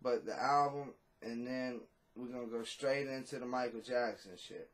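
A man's voice: mid-range voice, moderate pace. A man in his thirties, a rapper talking casually and bluntly in an interview, cursing freely, on a video call from a small room at home. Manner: blunt, profane, laid-back.

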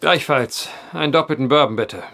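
An actor playing a polite, wry gentleman traveler with old-fashioned, wordy phrasing in a German audio drama. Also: fairly high pitch, normal speed, gravelly, a little hiss or hum. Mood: dry, chatty.

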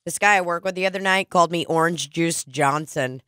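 Woman, late twenties, podcast co-host, bright voice, dry close studio mic, rambling casual chat. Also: lowish voice, fairly quick, smooth, dry recording. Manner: rambling, laid-back storytelling, eating while talking. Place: small studio with red curtains. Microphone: studio broadcast mic with headphones.

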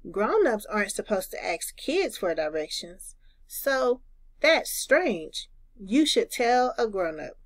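A woman's voice: medium pitch, sing-song, takes it slowly, no room sound. A woman voicing a sensible older boy who patiently instructs, in a children's storybook. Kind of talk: children's storybook read-aloud.

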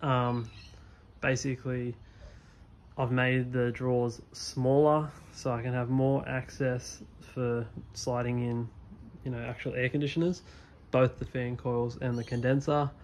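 A young man talks through a casual vlog, his pitch staying flat and level. He rambles, filling gaps with ums as he films on a phone outdoors. A faint outdoor hiss sits under his voice. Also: measured pace. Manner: rambling, many ums and fillers. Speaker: HVAC technician vlogger. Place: outdoors beside a van in a driveway.

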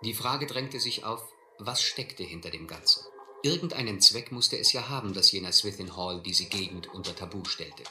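A man acting a shrewd, adventurous seafarer in a radio drama, deliberate and controlled with a touch of irony. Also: low voice, sharp tone. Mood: reflective, suspenseful. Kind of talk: radio drama acting, first-person narration and dialogue. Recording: roomy, slightly noisy old radio-play recording.

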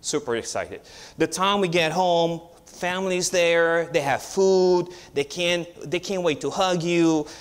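A man's voice: on the high side, a little slow, smooth. A man in his thirties from Romania, with a Romanian accent, giving a chatty, story-filled guest sermon from a church pulpit. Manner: chatty, storytelling, with frequent restarts and growing excitement. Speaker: guest preacher.

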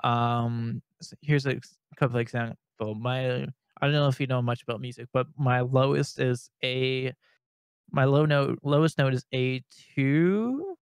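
A young man from Canada chatting as a podcast co-host, rambling with many restarts and nervous laughs, with a fairly high-sounding voice. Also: measured pace, clear, resonant tone, no echo. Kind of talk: casual podcast chat.

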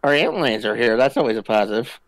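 A man's voice: medium pitch, lively intonation, quick pace, a crowd in the background. A man joking around casually as he plays a co-op game, often chuckling as he talks. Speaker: co-op gaming video player. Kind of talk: casual joking gaming banter.

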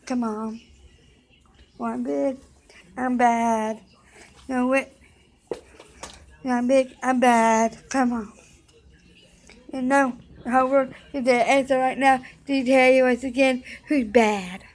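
A young woman singing songs loosely and loudly into a close webcam in an office room, shouting and chanting at times. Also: sits high, fairly even intonation, slow, long pauses. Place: indoor office room with desks and computers. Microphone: webcam at close range, with headphones on.

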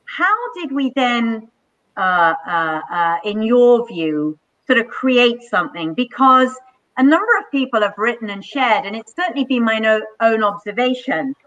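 An older woman hosting a live online panel from a home office, speaking in slow, measured, enthusiastic phrases over a video call. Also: fairly high pitch, very animated, fairly bright tone. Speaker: panel host. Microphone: webcam on a video call. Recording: dull lo-fi video call audio.

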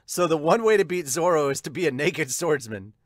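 A man's voice: a little high, lively intonation, fairly quick, no room sound. A man in his thirties chatting casually as a manga reviewer and host, recorded close on a desktop mic at home. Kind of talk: casual chat, reading viewer comments. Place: small home room with bookshelves. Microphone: large desktop microphone with pop filter, close.

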